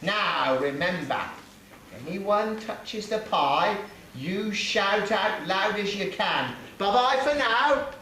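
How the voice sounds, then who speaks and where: A man's voice: high-pitched, animated, takes it slowly, bright, forceful tone, full character voice. A man playing a bossy, greedy pantomime dame mother, coaxing and scolding in exaggerated stage style. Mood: playful rallying. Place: theatre or hall stage, live performance. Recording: very echoey hall, faint noise.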